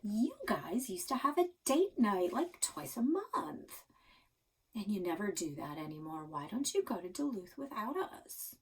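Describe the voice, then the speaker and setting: A woman's voice: medium pitch, big pitch swings, unhurried, husky, far from the mic, heavily acted. A woman voicing a scheming, dramatic teenage girl with a wheedling, falsely resigned manner in a storybook. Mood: coaxing. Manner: theatrical, casual teen phrasing.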